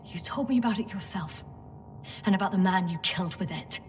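A woman's voice: medium pitch, brisk, a little mellow, distant mic, soft background music, natural voice. An actress playing a weary, resourceful female space scientist in a video game, speaking naturally and sometimes muttering to herself. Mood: exasperated, placating.

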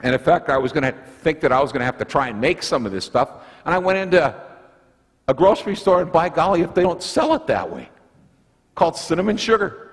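A man's voice: fairly high pitch, brisk. An older man preaching a sermon as pastor in a church sanctuary, telling stories with folksy asides. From United States. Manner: lively storytelling, folksy asides. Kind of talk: sermon with object lesson. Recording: long church reverb, slightly husky sound.

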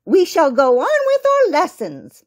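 A woman voicing a prim lady mouse schoolteacher with a squeaky voice, politely scolding in a children's storybook. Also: huge pitch swings, unhurried, full character voice. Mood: firm. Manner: polite, reproachful.

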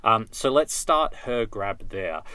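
A man giving an unscripted software tutorial, thinking aloud as he works through it step by step. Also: fairly low pitch, animated, slow pace, no echo. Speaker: video editing tutor.

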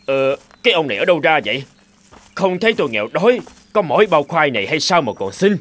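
An actor playing a greedy, foolish poor peasant in a cartoon folk tale, whining and grumbling. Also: male voice, fairly high pitch, big pitch swings, fast, a bit bright. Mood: irritated.

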